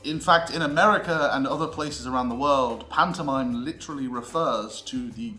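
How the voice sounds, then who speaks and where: A roomy, echoing recording carries a British man's voice over background music. He narrates like a playful lecturer, emphatic and theatrical, at a medium pitch, slipping dry comic asides into his scripted explanation. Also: moderate pace, bright, forceful tone. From United Kingdom. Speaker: presenter of a theatre history series. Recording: roomy echo with music underneath. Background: background music with guitar.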